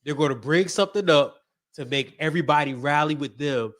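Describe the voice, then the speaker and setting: Man, co-host, casual discussion, hesitant restarts, slightly high pitch. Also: fairly quick, fairly bright tone. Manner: hesitant, restarting, reasoning aloud. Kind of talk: casual talk-show discussion.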